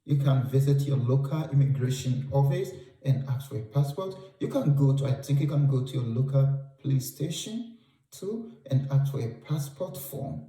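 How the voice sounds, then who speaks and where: A man's voice: mid-range voice, animated, moderate pace, dark tone. A man from Ghana with a Ghanaian English accent, giving travel advice to camera and repeating key points for emphasis. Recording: roomy sound, distant from mic.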